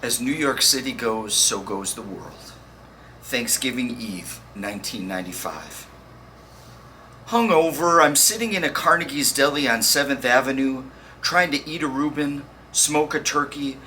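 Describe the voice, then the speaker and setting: A man reading poems aloud with deliberate, dramatic phrasing and pauses. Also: mid-range voice, animated, bright, forceful tone. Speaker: poetry reader. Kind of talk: spoken poetry reading. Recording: faint background noise.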